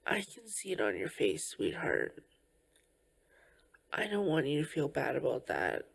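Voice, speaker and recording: A tender girlfriend voiced by a woman soothes her partner in an ASMR roleplay. Her voice is slightly low and husky-soft, often near a whisper. She takes her time, speaking very slowly with gentle, reassuring care. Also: sing-song, heavily acted. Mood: earnest reassurance. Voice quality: breathy, often slipping into whispers. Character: tender, caring girlfriend comforting a tired partner.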